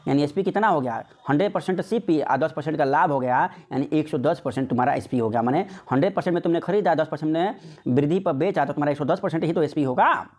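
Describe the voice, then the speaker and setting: A man's voice: fairly high pitch, fast talker, a little mellow. A man teaching an informal exam-prep maths lesson step by step, often repeating himself and answering his own questions. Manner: repetitive, asks questions and answers them himself.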